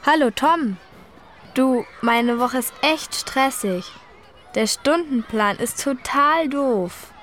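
A complaining teen girl, slow, clear, slightly high voice. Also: a bit bright, slight background noise. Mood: grumbling. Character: teen girl fed up with her timetable. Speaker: student in a listening dialogue. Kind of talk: scripted textbook dialogue.

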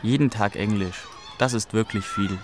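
A man's voice: on the low side, moderate pace, lightly acted. Textbook dialogue: an actor voicing an inquisitive teen boy, street noise behind. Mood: curious. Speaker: friend in a listening dialogue.

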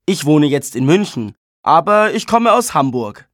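Casting: a friendly fourteen-year-old boy, slow and dry. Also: a little high, big pitch swings, no room sound. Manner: slow, clear self-introduction. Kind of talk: scripted textbook audio.

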